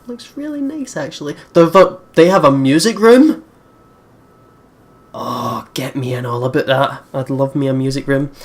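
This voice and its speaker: Expressive woman reading and reacting to a game. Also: a bass voice, normal speed. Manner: expressive, exclaiming, swears casually. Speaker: let's play host. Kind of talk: reading visual novel narration with casual reactive commentary.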